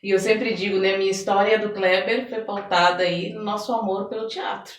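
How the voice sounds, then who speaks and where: A woman's voice: medium pitch, steady tone, moderate pace. A Brazilian woman from São Paulo speaking as an interview guest, telling personal stories conversationally and often adding little tag questions. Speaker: podcast guest, mentor. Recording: echoey room, distant mic.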